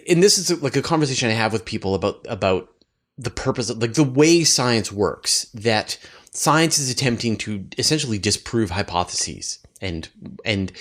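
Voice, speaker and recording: Lower-pitched man hosting a conversation. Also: fairly bright tone. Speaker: interviewer and show host. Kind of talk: conversational science interview.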